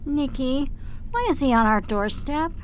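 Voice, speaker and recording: A caring, concerned detective's partner in a radio play, acted by a woman. She has a high voice and takes her time, over faint background noise. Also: animated, unhurried. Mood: wary, concerned. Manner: affectionate, concerned. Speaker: actress in an audio drama. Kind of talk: radio theatre acting.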